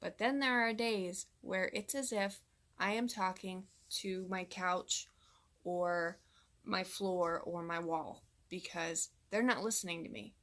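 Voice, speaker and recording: A woman's voice: fairly low pitch, steady tone, slow pace, fairly bright tone. A woman in her thirties, a mom vlogger, talking straight to camera in a candid, exasperated way. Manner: exasperated, candid venting to viewers. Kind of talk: direct-to-camera vlog monologue.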